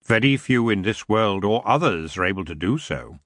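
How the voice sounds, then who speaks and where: A man voicing the antlered king of the elves, smooth and languid, in an audiobook reading. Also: on the low side, lively intonation, moderate pace, a bit bright, no echo, clean studio sound, pitched below the performer's own voice. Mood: intrigued. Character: ancient stinking antlered male elf king, lazy and menacing.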